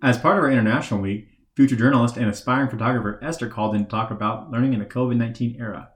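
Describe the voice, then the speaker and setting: A man co-hosting a podcast, reading a scripted introduction in a brisk announcer-like way. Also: medium pitch. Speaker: podcast co-host. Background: music.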